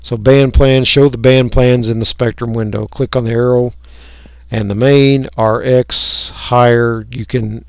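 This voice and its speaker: Casting: American man, medium-pitched, folksy hobbyist talking through software off the cuff, in a dry recording. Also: fairly even intonation, unhurried, smooth, dry recording. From United States. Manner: folksy, rambling, thinking aloud. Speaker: radio hobbyist giving a software tutorial. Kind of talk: casual unscripted screen-recording walkthrough.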